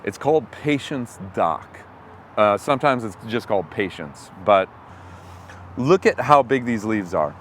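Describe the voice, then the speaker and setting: Enthusiastic male host with a lower voice, recorded outdoors with light noise. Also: expressive, measured pace, a bit bright. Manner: enthusiastic, explaining. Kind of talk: casual outdoor foraging talk. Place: outdoors in woods.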